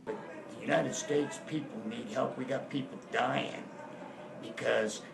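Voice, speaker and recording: Gravelly man in his fifties pleading. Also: mid-range voice, fairly even intonation, a little slow. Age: 50s. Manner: impassioned, blunt. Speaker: patient. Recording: echoey, distant, noisy.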